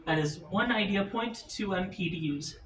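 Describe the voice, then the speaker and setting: Male game master, casual rules talk, high voice, roomy distant recording with faint noise. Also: normal speed, a bit bright. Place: indoor room, group game table.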